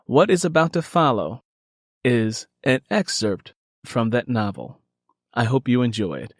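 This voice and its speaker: A man's voice: medium pitch, expressive, measured pace, dry recording, studio quality. A man speaking as an author and podcast host, addressing his listeners directly in an earnest way. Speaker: author, creator and narrator of an audio drama podcast.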